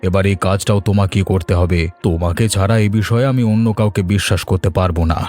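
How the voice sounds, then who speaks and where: Cartoon villain male actor: calculating, envious wealthy merchant, somewhat low voice, faint music underneath. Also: fairly even intonation, quick pace, a little mellow, close to an everyday voice. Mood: scheming. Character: envious, scheming rich fish merchant villain. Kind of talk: Bengali cartoon story acting.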